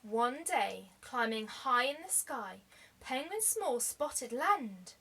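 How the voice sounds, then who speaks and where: A woman reading a children's story aloud like a teacher, expressively, with deliberate dramatic emphasis. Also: a little high, sing-song, pushed and bright, husky, rough recording.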